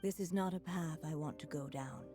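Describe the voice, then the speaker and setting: A woman voicing a solemn alchemist who speaks in a measured, formal way. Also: deep voice, over music, close to an everyday voice. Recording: game score loud behind.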